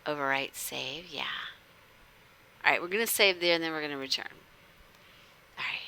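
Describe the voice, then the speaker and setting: A woman's voice: low voice, normal speed, clear, resonant tone. A woman hosting a livestream, mixing playful chat with theatrical story narration and dropping at times into whispers. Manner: animated, theatrical, drops into whispers. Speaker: livestream host reading a visual novel with chat.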